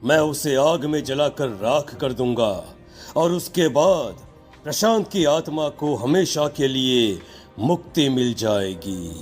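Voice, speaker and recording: A man's voice: mid-range voice, animated, takes it slowly, a little mellow, faint music behind. Man playing a stern, commanding holy man. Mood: solemn command. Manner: solemn, commanding. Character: stern, accusing male holy man who banishes spirits. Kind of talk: dramatized moral horror story.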